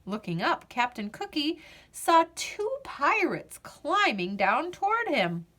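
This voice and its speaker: A woman reading a children's picture book aloud as storyteller, in an expressive, deliberate manner. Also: sits high.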